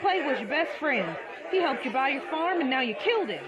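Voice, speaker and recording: A woman acting a shrill, accusing angry townsperson. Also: sing-song, brisk, crowd noise, poor audio. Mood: furious, accusing. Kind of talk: old-time western radio drama acting.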